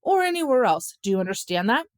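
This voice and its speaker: A woman's voice: mid-range voice, fairly quick, darkish tone, no echo, clean studio sound, close to an everyday voice. A woman voicing a stern father, firm and admonishing, in a children's storybook. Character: stern, scolding suburban father. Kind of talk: children's audiobook character voice.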